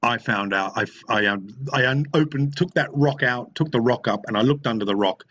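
Middle-aged Australian man, frank recovery-meeting share, halting restarts, mid-pitched voice, a bit muffled. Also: fairly bright tone, breathy edge. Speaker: speaker sharing at a recovery meeting. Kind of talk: personal testimony.

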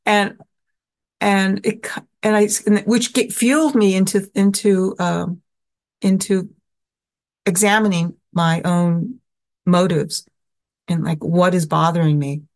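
A woman from the American Southwest telling her personal recovery story in a halting, reflective way, with frequent restarts and fillers. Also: medium pitch, fairly even intonation, darkish tone.

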